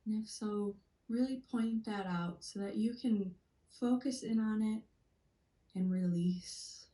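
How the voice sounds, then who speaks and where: A woman leading a gentle guided meditation in a soothing, deliberate manner, leaving long pauses. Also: medium pitch. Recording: roomy, echoey sound.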